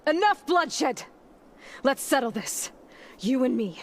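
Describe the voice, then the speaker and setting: A woman's voice: high voice, lively intonation, slow pace, a little hiss or hum, heavily acted. A woman voicing a brave, determined young warrior heroine in a video game, resolute and often murmuring to herself. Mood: defiant.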